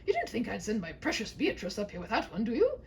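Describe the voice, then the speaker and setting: Woman putting on a huffy, wildly expressive storybook king. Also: fairly high pitch, quick pace, a little hiss or hum. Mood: indignant. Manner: exclamatory, effusive, blustering. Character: huffy, absent-minded, doting old king and father. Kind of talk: humorous fairy-tale storybook reading.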